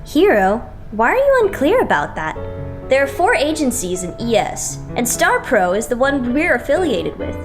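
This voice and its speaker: A woman's voice: sits high, wildly swooping pitch, normal speed, music in the background. A woman voicing an eager, chatty teenage boy idol in an anime-style fan dub, earnest and easily starstruck.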